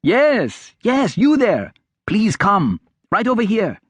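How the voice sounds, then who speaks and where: A man voicing an eager, cheeky adolescent street boy in a fantasy audiobook, excitable and wheedling. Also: high-pitched, takes it slowly, husky, no room sound, pitched above the performer's own voice, heavily acted. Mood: excited, calling out. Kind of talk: audiobook character acting.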